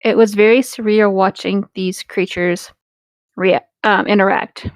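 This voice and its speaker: A woman's voice: mid-range voice, fairly even intonation, unhurried, dry recording. A woman in casual, playful talk with friends, joking and reading a story aloud. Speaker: co-reader in a group creepypasta reading.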